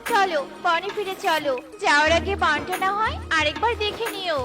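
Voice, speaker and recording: A woman's voice: very high-pitched, normal speed, music in the background. A woman voicing a kind, helpful little female bird in a cartoon, with a chirpy, earnest and slightly fretful manner. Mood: eager. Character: kind, helpful little female tailorbird, married, eager to please.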